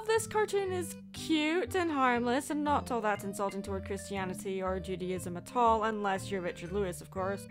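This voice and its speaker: A woman hosting a scripted video essay, narrating with wry asides. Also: medium pitch. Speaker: video host. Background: music under the voice.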